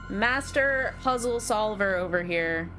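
Slow-talking young woman streamer. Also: medium pitch, lively intonation, sharp tone, soft background music, rough recording. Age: 20s. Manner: chatty, self-deprecating, lots of fillers. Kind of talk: live game stream chat.

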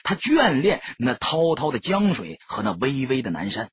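A man narrating an audiobook in a dramatic, emphatic storyteller's style, with a husky, rough-edged voice. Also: medium pitch, normal speed. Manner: expressive, emphatic storytelling delivery. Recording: dull lo-fi audio, dry sound.

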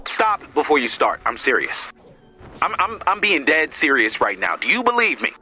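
An earnest, no-nonsense video game mission commander with a dry, exasperated streak. The voice is fairly high and very sharp, with lively inflection. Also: male voice, moderate pace, breathy edge, faint music behind, full character voice. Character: exasperated, no-nonsense heroic male team leader.

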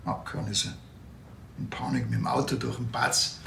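An actor playing a dry, sceptical small-town police detective with a Bavarian accent and a deadpan, colloquial delivery. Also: male voice, mid-range voice, moderate pace, gravelly, slight background noise.

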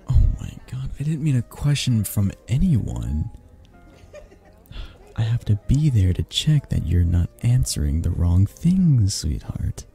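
A man playing a possessive, flirtatious mafia boss in a romantic audio roleplay, husky and gravelly, speaking with intimate condescension and long pauses. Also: fairly low pitch, mellow, exaggerated voice. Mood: possessive, angry. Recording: music behind the voice. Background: background music.

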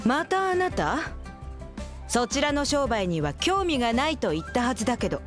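A woman's voice: high voice, sing-song, normal speed, soft background music. A woman playing an unimpressed, businesslike guild receptionist in an anime game, firm and dismissive. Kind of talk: Japanese anime game dialogue acting.

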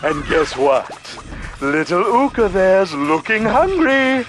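An actor playing a petty, gleefully mean mad scientist in a video game cartoon, sarcastic and gloating. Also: male voice, sits high, sing-song, slow pace, noisy background, full character voice. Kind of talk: animated video game cutscene acting.

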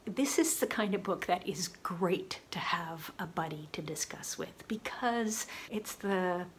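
A woman speaks slowly in a lowish voice, chatting casually about books she has read. She muses aloud and pauses often to find her words. Faint background noise sits under the recording. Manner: relaxed, musing, frequent "uh" pauses. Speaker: booktube host. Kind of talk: casual book review.

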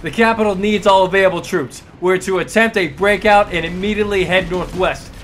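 An actor playing a dutiful soldier giving clipped military reports in a sci-fi audio drama. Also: male voice, high-pitched, fairly even intonation, brisk, a little hiss or hum. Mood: dutiful, tense. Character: dutiful male soldier reporting to his commander. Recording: loud music and battle sounds behind.

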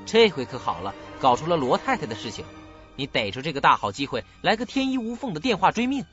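Bitter younger brother, animated delivery. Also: male voice, on the high side, quick pace. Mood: bitter, accusing. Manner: accusing, sarcastic. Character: bitter younger sibling confronting a scheming older brother. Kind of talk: radio drama acting. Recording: muffled dull recording with loud music.

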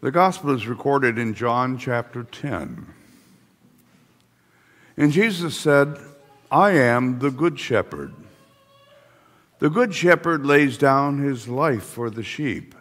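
Older pastor reading slowly in a church hall. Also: male voice, medium pitch, lively intonation, fairly bright tone. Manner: slow, deliberate liturgical reading. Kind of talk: reading the gospel in a service. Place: church sanctuary, indoors. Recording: long church hall reverb.

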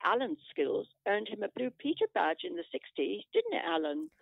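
A woman playing an excitable, proud, bossy wife with a creaky voice in an amateur radio play. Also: mid-range voice, moderate pace, dry recording, full character voice. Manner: bossy, showy. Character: excitable, proud woman showing off husband's craft. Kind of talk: amateur radio play, video-call meeting. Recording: thin, dry phone line.

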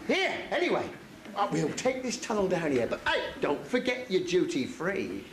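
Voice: medium pitch, wildly swooping pitch, normal speed. An actor playing a bustling, bawdy pantomime mother on stage, exclaiming and gossiping in a noisy, echoey hall. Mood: chatty, comic. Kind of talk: broad amateur stage pantomime comedy. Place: stage in a hall, recorded from audience. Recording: noisy echoey theatre hall camcorder recording.

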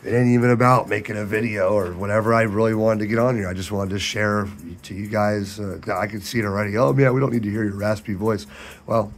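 A man with a hoarse, sick voice, casually chatting about his ham radio setup at night. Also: lowish voice, steady tone, fast talker, slight background noise. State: hoarse, losing his voice from illness. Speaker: ham radio video host. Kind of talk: casual vlog narration with radio contacts. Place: home radio shack at night.

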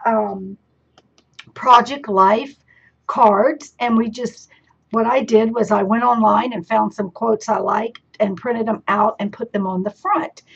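A woman casually explaining a handmade photo album step by step, a little hoarse, with filler words as she talks. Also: on the high side, lo-fi sound. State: slightly hoarse. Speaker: crafting teacher. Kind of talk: casual show-and-tell demonstration. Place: indoors at a craft table. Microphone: camera overhead on tabletop.

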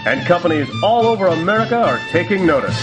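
A man's voice: high voice, expressive, fast talker. A man reading a scripted promotional voice-over in a polished announcer delivery. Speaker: promo narrator. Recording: music bed behind the voice. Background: music.

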